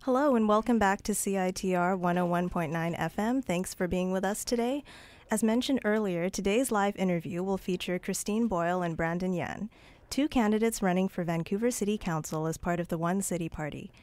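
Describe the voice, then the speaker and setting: A woman's voice: mid-range voice, fairly quick, a little mellow, dry recording. A woman hosting a campus radio show, reading a scripted introduction of her guests. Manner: reads from a prepared script. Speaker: radio host. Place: radio studio. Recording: dry studio sound.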